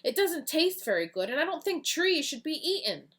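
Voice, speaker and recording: A woman voicing an eager young male superhero in a children's story, talking in earnest, excited exclamations. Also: high voice, normal speed, voice pushed up. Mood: distressed.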